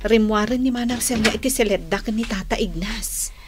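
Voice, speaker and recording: A fairly high, gentle young lady, played by a radio actress, considerate and polite. She speaks in an Ilocano radio drama with faint background noise. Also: brisk, close to an everyday voice. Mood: kindly, polite.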